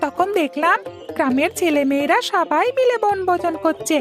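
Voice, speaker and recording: Woman voicing a very high-pitched pleading little boy. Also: expressive, normal speed, over music. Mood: eager, pleading. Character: pleading, whiny little boy who loves food. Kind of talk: Bangla cartoon voice acting.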